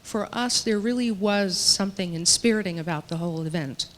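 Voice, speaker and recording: A woman speaking at a panel discussion, voicing for a panelist in a measured, earnest way with some emphatic stretches. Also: medium pitch. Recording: long reverb of a large hall.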